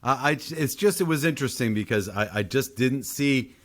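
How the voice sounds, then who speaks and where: A man's voice: mid-range voice, expressive, moderate pace, a bit bright. A man casually discussing a film on a podcast, thinking aloud with questions and restarted sentences. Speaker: podcast panelist.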